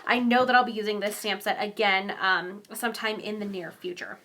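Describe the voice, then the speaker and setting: A woman's voice: mid-range voice, a little fast, pushed and bright, a little hiss or hum. A young woman with a stuffy, congested voice, chatting through a casual card-making tutorial, rambling with frequent ums and small laughs. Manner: giggly, laughs often. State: congested from a cold.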